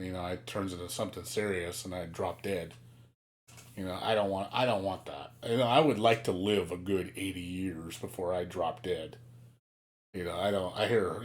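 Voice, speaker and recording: A man in his late thirties, talking to viewers on his live stream in a candid, rambling way. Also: low-pitched. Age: late 30s. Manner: candid, rambling, self-critical.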